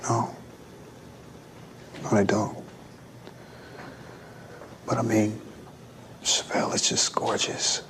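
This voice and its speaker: An actor playing a troubled grown son in a screen drama, speaking in a halting, subdued manner. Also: male voice, lowish voice, flat delivery, background noise. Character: troubled, hesitant grown son recalling his father.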